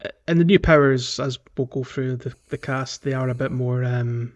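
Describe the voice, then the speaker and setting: A man's voice: medium pitch, moderate pace. A man co-hosting a casual gaming podcast, often hesitating and rephrasing himself as he talks. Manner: hesitant, self-correcting phrasing. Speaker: podcast co-host.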